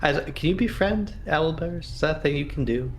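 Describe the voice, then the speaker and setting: A man's voice: fairly high pitch, lively intonation, normal speed, slight background noise. A man playing a tabletop roleplaying game, chatting casually in a wry, joking way.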